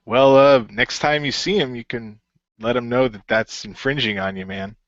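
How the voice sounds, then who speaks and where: Man playing a bright-voiced, laid-back hero. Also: medium pitch, normal speed, dry recording, lo-fi sound, natural voice. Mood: easygoing.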